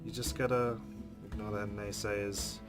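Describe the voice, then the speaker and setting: A man's voice: fairly low pitch, level tone, unhurried. A man hosting a let's play, reading game narration aloud and adding casual comments. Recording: game music behind the voice.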